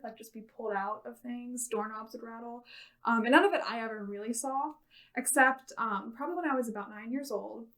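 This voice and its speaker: A woman's voice: fairly high pitch, a bit bright, poor audio. A young American woman telling a personal story casually as an interview guest. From Ohio, United States.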